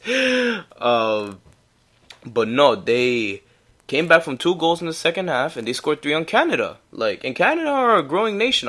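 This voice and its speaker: A man's voice: medium pitch, sharp tone. A young man casually talking through a solo sports recap, chatty and rambling with laughs. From United States. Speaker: podcast host.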